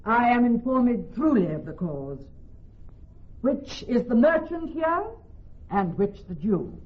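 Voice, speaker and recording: An actress playing a composed, eloquent young doctor of law in Shakespearean radio drama, poised and declamatory. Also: female voice, on the high side, very animated, slow. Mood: composed, formal. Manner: poised, declamatory, clearly phrased. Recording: slightly muffled old radio recording.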